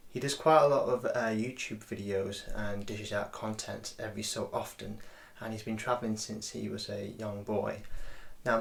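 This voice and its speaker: A man's voice: on the low side, creaky, fairly bright tone. A man hosting an interview, reassuring his guest and rephrasing questions with many restarts. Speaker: interview host. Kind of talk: casual interview.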